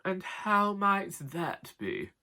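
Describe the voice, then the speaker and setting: A man voicing a haughty, imperious woman in her seventies from Northern England, with a slow, pompous drawl in a comic audiobook. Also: high voice, very animated, pushed and bright, voice pushed up, full character voice. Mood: haughty, cutting. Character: Doris, haughty seventy-two-year-old Northern English housewife, imperious.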